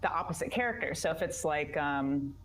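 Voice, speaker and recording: A woman's voice: deep voice, animated, brisk, rough recording. A woman answering interview questions casually, enthusiastic but hesitant, as a voice director and actor.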